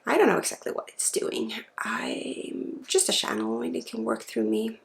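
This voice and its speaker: A woman gives a spiritual ASMR healing session, speaking softly and informally with pauses and often dropping into a breathy whisper. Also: a little high. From Sweden. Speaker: spiritual healer and ASMR host.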